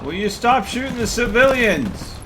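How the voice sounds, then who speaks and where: A man's voice: very high-pitched, big pitch swings, normal speed. A man giving casual gameplay commentary as a streamer, muttering reactions to himself as he plays. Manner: talking to himself, reacting to the game. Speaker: game streamer. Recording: gunfire and game noise over voice. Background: loud video game gunfire and vehicle sounds.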